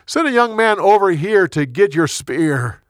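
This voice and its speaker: A man's voice: on the high side, fairly even intonation, slow pace, dry recording, studio quality. A man voicing a bold, righteous and loyal warrior leader in an earnest, declamatory way for a story. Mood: pleading, earnest.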